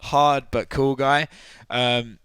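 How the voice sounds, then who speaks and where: A man's voice: medium pitch, little pitch movement, takes it slowly, fairly bright tone, smooth, dry recording. A tipsy man chatting loosely as a podcast guest, laughing often and swearing freely. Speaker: podcast guest, media personality. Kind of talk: casual podcast chat.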